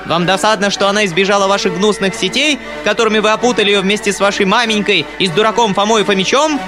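A man's voice: sits high, voice pushed up, lightly acted. A man voicing a furious middle-aged noblewoman who screeches and scolds, with music playing behind.

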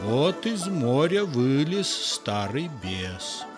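A man narrating a verse fairy tale in an expressive, theatrical and measured way. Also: mid-range voice, loud music behind the voice. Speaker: storyteller.

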